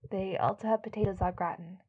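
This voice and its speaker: An actress as a patient, husky-voiced woman. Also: medium pitch, steady tone, fast talker, dark tone, lo-fi sound, close to an everyday voice. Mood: patient, exasperated. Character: patient woman announcing dinner to a rogue. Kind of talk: audio drama acting.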